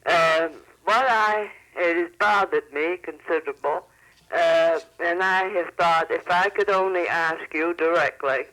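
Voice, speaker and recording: A man's voice: a little high, a little slow, sharp tone. An older man calling a radio show by telephone, chatting in a plain-spoken, folksy and affectionate way. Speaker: phone-in caller. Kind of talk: casual radio phone-in chat. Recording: telephone call on 1970s radio.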